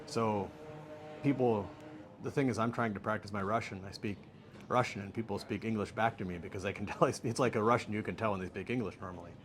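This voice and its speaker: A middle-aged American man giving relaxed, joking answers as an interview guest. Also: lowish voice, fast talker. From United States. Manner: relaxed, joking, laughs easily. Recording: faint background noise.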